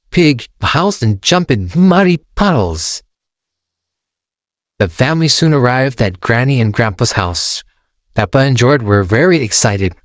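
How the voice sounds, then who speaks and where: A man narrating a children's storybook aloud in an expressive, sing-song way, close to the mic. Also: medium pitch, dry recording.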